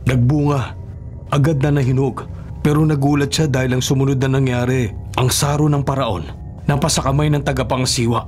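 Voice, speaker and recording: An actor playing an imprisoned royal baker seeking help in a Filipino radio drama, speaking in a measured, respectful way. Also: male voice, medium pitch, fairly even intonation, a little mellow, soft background music, close to an everyday voice. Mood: narrating a dream.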